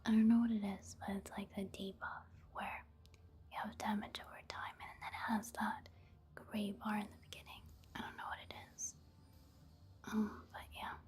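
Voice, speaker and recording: A woman giving soft-spoken ASMR game commentary in a hushed, breathy whisper, in a gentle, casual, unhurried manner. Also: mid-range voice. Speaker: gaming ASMR video host.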